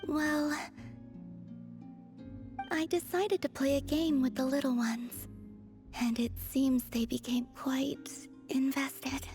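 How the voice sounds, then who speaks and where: This is an enigmatic young moon maiden from an anime game. Her voice is high, soft and breathy, her phrasing gentle, formal and unhurried, with faint music behind it. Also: fairly even intonation. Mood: calm, wryly amused. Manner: unhurried, formal, dreamy, understated. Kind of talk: anime game character dialogue.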